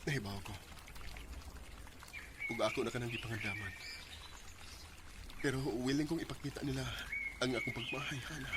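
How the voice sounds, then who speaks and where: An actor playing a remorseful young ex-boyfriend pleading for another chance in a radio drama, with a Cebuano Filipino accent. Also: male voice, mid-range voice, moderate pace, long pauses, lightly acted. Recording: very noisy radio drama track.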